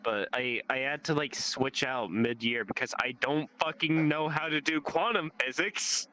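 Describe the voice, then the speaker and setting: Bright, clear-voiced teenage guy chatting. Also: medium pitch, unhurried, poor audio. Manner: rambling, frequent "like", casual swearing. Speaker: guest telling life experiences. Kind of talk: casual chat. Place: online voice chat in a VR social game.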